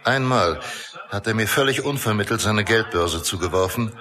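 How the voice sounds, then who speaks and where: A man plays a martial arts pupil full of admiration for his teacher. He explains in a lowish voice, as a radio play testimonial, with a crowd clearly audible behind. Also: lively intonation, normal speed, lightly acted. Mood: admiring. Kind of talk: scripted testimonial in radio drama.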